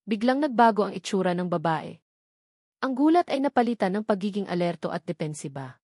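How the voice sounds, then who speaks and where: A Filipino woman narrates a dramatic romance story. Her voice sits at a medium pitch for a woman, and the sound is dry, with next to no room in it. The delivery is scripted storytelling. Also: expressive, a little fast, darkish tone, no room sound.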